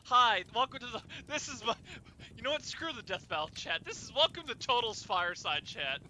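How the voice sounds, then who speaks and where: A man hosting an informal chat, telling rambling stories in a halting, animated way and swearing at times. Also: very high voice, slight background noise, rough recording. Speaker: host of an informal fireside chat. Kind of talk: casual chat, rambling storytelling.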